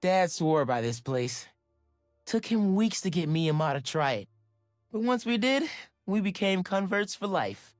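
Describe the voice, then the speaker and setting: An actor playing an upbeat, earnest teenage superhero from New York with an American accent, chatting casually in a video game. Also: male voice, high-pitched, sing-song, unhurried, no echo, clean studio sound, faint music behind. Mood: candid, cheerful. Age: young adult. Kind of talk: game voice acting, casual reflection.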